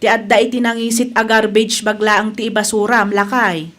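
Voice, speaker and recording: A woman's voice: mid-range voice, fairly even intonation, fairly quick, a bit bright, natural voice. A woman with a Filipino Ilocano accent playing a kind, generous storekeeper wife in a radio comedy-drama. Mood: considerate.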